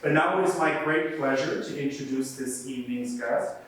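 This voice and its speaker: A man's voice: mid-range voice, normal speed, a bit bright. A man who directs programs, giving a chatty, joking introduction at a library event. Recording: very echoey room, from far off.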